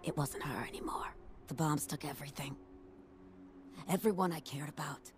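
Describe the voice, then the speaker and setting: A woman's voice: low-pitched, moderate pace, background noise, lightly acted. An actress voicing a tough, blunt ghoul woman from Pittsburgh who survived the bombs as a factory worker, talking in a wry, plain-spoken way. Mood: somber. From Pittsburgh, USA. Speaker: game character. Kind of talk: video game dialogue.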